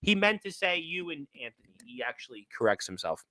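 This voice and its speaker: High, bright-voiced man hosting live wrestling chat. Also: big pitch swings, fairly quick, no echo. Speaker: host of a wrestling radio show. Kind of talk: casual live chat, reading out and reacting to viewer comments.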